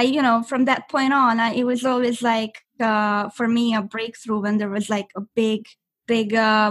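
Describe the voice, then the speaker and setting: A young woman from Hungary, a native Hungarian speaker, chatting as an interview guest with many fillers and restarts. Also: fairly high pitch. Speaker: guest affiliate marketer. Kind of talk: interview answers.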